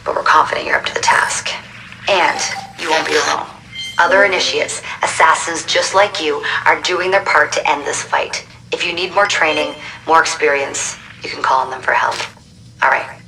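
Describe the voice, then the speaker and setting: A woman's voice: low voice, moderate pace, sharp tone. An actress playing a serious, businesslike woman briefing covert agents in a video game. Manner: matter-of-fact, urgent. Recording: distant mic, faint background noise.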